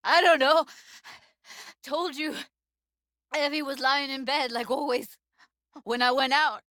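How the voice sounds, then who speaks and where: A woman's voice: sits high, fairly even intonation, a little slow, pushed and bright, dry recording, studio quality. An actress voicing a tough, guarded young woman techie in a cyberpunk video game, speaking in casual, clipped slang with a wry edge. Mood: upset, tense. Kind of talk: naturalistic video game dialogue, isolated voice lines.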